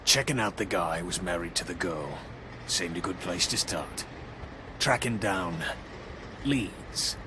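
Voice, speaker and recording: An actor playing a cagey, wisecracking hired investigator in a noir video game, with a slangy, streetwise manner. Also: male voice, sits low, a little slow, noisy background. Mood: smug, evasive.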